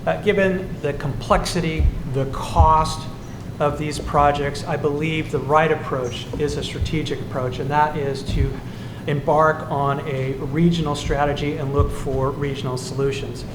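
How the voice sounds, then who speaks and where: A man running for city council, giving a measured forum answer. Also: a little high, steady tone. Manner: measured, policy-focused, slight restarts. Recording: echoey hall with noise.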